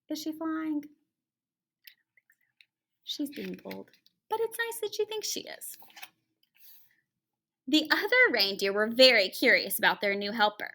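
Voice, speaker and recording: A woman reads a children's story aloud in a high, bright voice with very lively pitch. She plays up the drama with pauses and drops into hushed, chatty asides to the young listeners. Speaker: teacher reading a picture book aloud to children.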